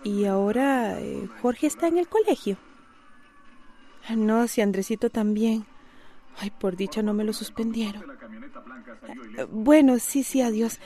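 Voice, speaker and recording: A woman's voice: on the high side, unhurried, long pauses, warm tone. An actress with a Costa Rican accent playing a worried middle-aged mother in a radio soap opera.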